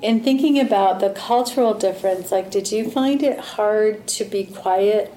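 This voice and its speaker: A woman's voice: medium pitch, measured pace, mellow, echoey room, faint music behind, rough recording. A woman interviewing someone, rambling and repeating words as she frames her questions.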